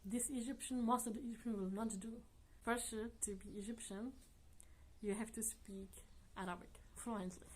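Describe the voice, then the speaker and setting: A woman vlogger chatting casually to her viewers in earnest, non-native English, often laughing softly. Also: fairly high pitch, steady tone, normal speed, slight background noise. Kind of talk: casual chat to camera.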